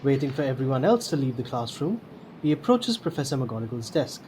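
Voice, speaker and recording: A man with a middling pitch narrates an audio drama from a script. The recording carries faint noise. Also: big pitch swings, a little fast. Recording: slightly noisy home mic.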